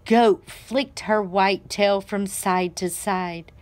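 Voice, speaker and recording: A woman reads a children's storybook aloud at a very unhurried pace. Her mid-pitched voice is expressive and deliberate, as if telling the story to young listeners. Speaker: library director reading aloud to children.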